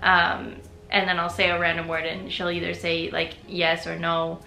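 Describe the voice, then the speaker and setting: Young adult woman, chatty vlogger, bright tense voice, roomy echo, faint music underneath. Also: fairly low pitch, completely flat delivery, normal speed, vocal fry. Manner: chatty, frequent "like" fillers, laughs often. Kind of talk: casual personal vlog.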